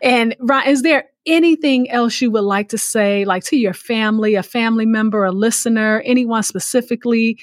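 A woman's voice: fairly high pitch, moderate pace, hardly any pauses. Affirming woman podcast host, dry close sound. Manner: affirming, echoes and repeats phrases for emphasis. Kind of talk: conversational interviewing.

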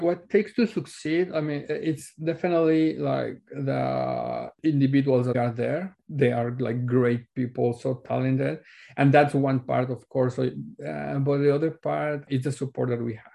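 A Mexican man, a native Spanish speaker, talks as an interview guest with a noticeable creak in his mid-pitched voice. His phrasing is informal and loose, as in a casual conversation about student life. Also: fairly even intonation, moderate pace, dark tone. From Mexico City, Mexico.

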